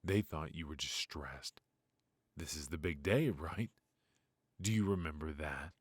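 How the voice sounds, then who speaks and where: A man playing a weary, ruthless yet fatherly mafia boss in an intimate ASMR roleplay, with a low, husky, breathy voice and a wry, sighing delivery. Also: background noise. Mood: patient, concerned. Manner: slow, pausing, sighing, wry.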